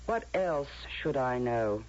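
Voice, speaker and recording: An actress playing a coldly patronizing woman of authority in a radio drama, deliberate and probing, on a muffled old broadcast. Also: rumbling low, big pitch swings, a little mellow, heavily acted. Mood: suspicious, interrogating. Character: coldly patronizing female authority of a future society.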